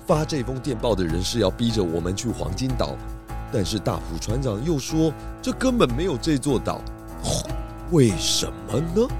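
A man playing a deliberate, questioning detective in a children's radio mystery. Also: mid-range voice, sing-song, over music, lightly acted. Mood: pondering. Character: calm, inquisitive male detective.

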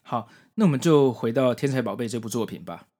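A man's voice: medium pitch, animated, brisk. A man with a Taiwanese Mandarin accent presenting a podcast in a semi-scripted, explanatory narration style. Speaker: podcast host.

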